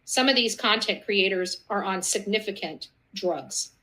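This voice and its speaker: A woman's voice: mid-range voice, unhurried, heavy background noise. A woman on a casual, confrontational rant, blunt and combative.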